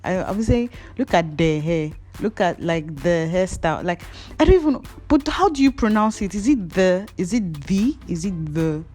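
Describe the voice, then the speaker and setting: A young Nigerian woman with a Nigerian accent, a hair tutorial vlogger, mixes slow step-by-step instructions with playful, gossipy storytelling. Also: lowish voice, faint music behind. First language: Yoruba. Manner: animated, playful, jumps between slow instructions and gossipy asides.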